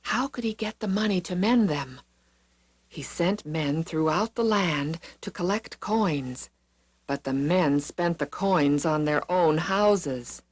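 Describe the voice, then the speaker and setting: A woman narrating scripted Bible stories for children in an expressive, deliberate storytelling manner. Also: lowish voice, slightly rough. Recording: music behind the reading. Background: background music.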